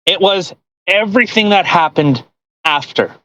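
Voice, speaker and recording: A man's voice: sits high, lively intonation, a little slow, bright, forceful tone. A man hosting a podcast in casual, mocking commentary with crude joking. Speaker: podcast host.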